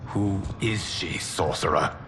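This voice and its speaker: A man playing a solemn, noble guardian leader in a fantasy video game, speaking gravely and formally. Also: low-pitched, slow pace, noisy background. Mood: stern. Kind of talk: dramatic video game cutscene acting. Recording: music behind. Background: music.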